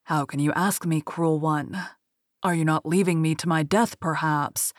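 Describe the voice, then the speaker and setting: A woman's voice: low voice, moderate pace, breathy edge, no echo, studio quality. A woman voicing a sad, weary prince in a fairy tale, speaking with wounded reproach. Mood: reproachful. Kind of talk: storybook character reading.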